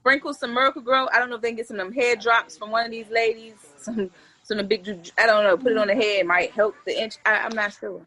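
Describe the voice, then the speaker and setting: A woman in her forties with a fairly high, bright and forceful voice. She talks like an interview guest in a loose, candid chat, blunt and earthy, turning her stories into jokes. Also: quick pace, poor audio. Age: 40s. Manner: blunt, earthy slang, animated storytelling. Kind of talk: candid, joking casual chat.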